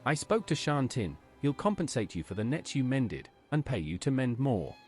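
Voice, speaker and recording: A man's voice: medium pitch, sing-song, moderate pace, darkish tone, lightly acted. A man voicing an obliging, businesslike adventurer hero in a video game, speaking plainly and to the point. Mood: reassuring. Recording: music behind the voice.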